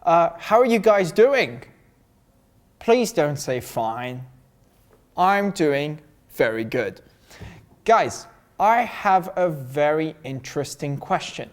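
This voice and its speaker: A man's voice: fairly high pitch, very animated, slow. A man from Russia speaking to viewers in an upbeat way, giving a short English lesson monologue. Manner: upbeat, addresses viewers directly as guys. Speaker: English teacher.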